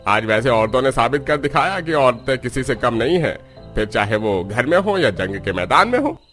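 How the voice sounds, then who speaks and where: A man's voice: medium pitch, sing-song, moderate pace, a bit bright, soft background music. A man voicing an earnest, admiring village man in a cartoon story.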